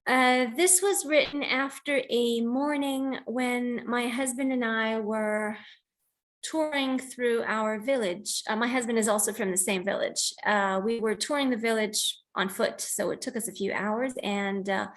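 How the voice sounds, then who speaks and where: High-voiced reflective American-born woman. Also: fairly even intonation, a little slow. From United States. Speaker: host of a poetry reading and poet. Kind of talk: informal introduction and reading of own poems. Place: online video call.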